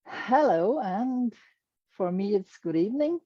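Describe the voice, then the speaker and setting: A woman's voice: mid-range voice, lively intonation, measured pace, no echo, dull audio. A middle-aged Danish woman with a Danish accent, showing her quilts to an audience in hesitant English, often searching for words. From Denmark. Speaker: quilter presenting her work. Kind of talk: presentation to an online audience.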